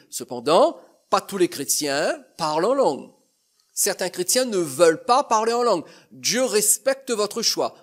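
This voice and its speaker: A man preaching a teaching sermon, earnest and emphatic, speaking directly to his listeners. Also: high-pitched. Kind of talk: sermon teaching over slides.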